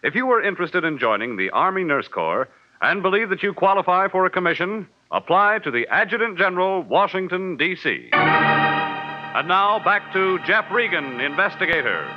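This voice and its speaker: Muffled old broadcast, male announcer, high pitch, formal, measured, organ music behind. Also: very animated. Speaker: radio announcer. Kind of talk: 1940s scripted radio announcing. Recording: dull 1940s radio recording, organ music.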